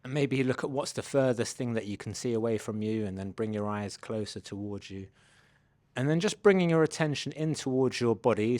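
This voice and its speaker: A man leading a guided body scan meditation, giving gentle, unhurried invitations as a mindfulness instructor. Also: lowish voice, no room sound.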